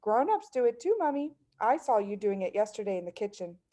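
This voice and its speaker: A woman's voice: mid-range voice, very animated, brisk, mellow, lightly acted. A woman voicing a clever little girl in a children's story, innocent, matter-of-fact and cheeky.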